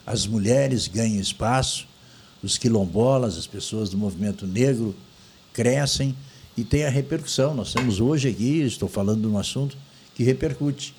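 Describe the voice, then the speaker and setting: A man's voice: mid-range voice, normal speed, darkish tone. An older man hosting a radio interview in a discursive, avuncular, conversational way. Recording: noticeable background noise.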